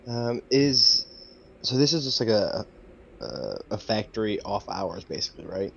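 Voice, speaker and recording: A man's voice: lowish voice, sing-song, unhurried, creaky, slightly rough, dull audio. A man playing a tabletop game, hesitant and full of questions. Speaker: player. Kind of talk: casual tabletop play.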